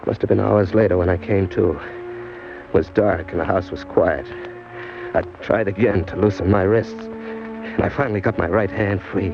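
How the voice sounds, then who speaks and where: A man's voice: fairly low pitch, very animated, normal speed. A man acting a tough, slangy, wisecracking drifter who narrates his own crime story in an old-time radio drama. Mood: grim narration. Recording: dull old radio recording with music.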